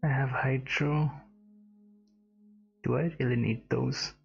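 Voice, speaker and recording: A man's voice: medium pitch, fairly even intonation, takes it slowly, vocal fry, husky, lo-fi sound, faint music behind. A man giving casual, laid-back gameplay reactions in short remarks as a streamer. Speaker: game streamer.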